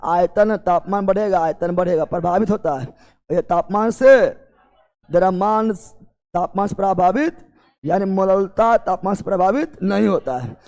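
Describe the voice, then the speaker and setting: High-pitched Bihari male coaching teacher. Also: normal speed, darkish tone. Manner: repetitive, drilling key terms and putting check questions to students. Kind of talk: classroom chemistry lecture in exam coaching.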